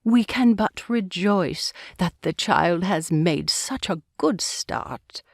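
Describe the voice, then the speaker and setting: A woman playing a kindly, pious old grandmother in an audiobook drama, speaking gently, earnestly and with measured care. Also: on the low side, lively intonation, creaky, no room sound, studio quality, full character voice. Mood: gracious, pleased. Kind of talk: full-cast audiobook acting.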